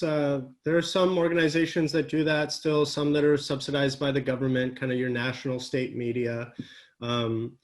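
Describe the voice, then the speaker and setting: A man hosting a podcast, who shares his own view in a casual way and then asks his guest a question. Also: mid-range voice. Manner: curious, lays out his own opinion before asking. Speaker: podcast host.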